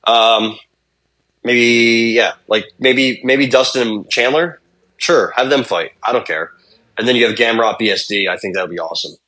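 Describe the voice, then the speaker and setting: A man's voice: mid-range voice, moderate pace, pushed and bright. A young man co-hosting a sports podcast, talking in a laid-back, rambling way and swearing casually. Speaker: podcast co-host.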